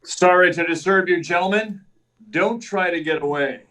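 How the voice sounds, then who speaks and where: A stalwart superhero of old-time radio, played by a man, speaking in a fairly high male voice a little way from the mic. He is self-assured and declamatory, talking himself through each feat. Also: moderate pace, pushed and bright, far from the mic, poor audio. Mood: coolly commanding. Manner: declamatory, self-assured, narrating his own actions. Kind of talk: 1940s radio serial acting.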